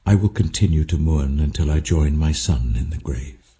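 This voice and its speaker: A man's voice: rumbling low, moderate pace, mellow. A man voicing an old patriarch father with weighty feeling in a dramatic Bible story reading. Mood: grieving. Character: old patriarch father, stern, doting, later grieving.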